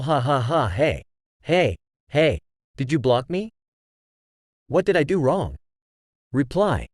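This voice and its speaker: A man's voice: mid-range voice, darkish tone, no echo, clean studio sound. A man narrating a series of online stories aloud in a scripted, read-aloud style.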